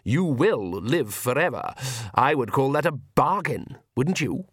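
A man's voice: medium pitch, big pitch swings, a little slow, fairly bright tone, breathy edge, no room sound, studio quality, full character voice. A man voicing an arrogant, urbane vampire count with a mocking, theatrically polite manner. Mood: coolly menacing.

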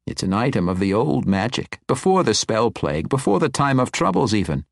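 A man voicing an eccentric, kindly old wizard in an audiobook, animated, rambling and full of exclamations. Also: medium pitch, a little fast, gravelly, dry recording, clean studio sound. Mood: wistful, animated.

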